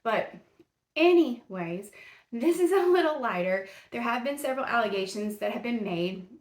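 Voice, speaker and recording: A woman with a Middle Tennessee Southern accent chats through a true crime episode, folksy and rambling, laughing at her own stumbles. Her voice sits a touch high. The recording has a noticeable room echo. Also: lively intonation, moderate pace. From Tennessee, USA. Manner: folksy, rambling, self-deprecating, many fillers. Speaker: true crime podcast host. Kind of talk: casual chatty podcast. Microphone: camera and mic.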